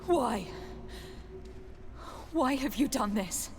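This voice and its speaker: An actress playing a resolute young noblewoman diplomat in a video game, speaking in a measured, earnest and formal way. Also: sits high, lively intonation, noisy background, full character voice. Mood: distraught, pleading. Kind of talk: video game cutscene acting.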